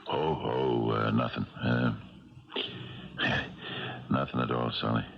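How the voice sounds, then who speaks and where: A very low-voiced old ex-policeman in a dated radio drama. He is hesitant and earnest, with a gravelly creak. The recording is dull, with music behind it. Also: little pitch movement, exaggerated voice. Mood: casual, guarded. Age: older. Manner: halting, hesitant delivery with repeated pauses. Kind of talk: 1970s radio drama acting.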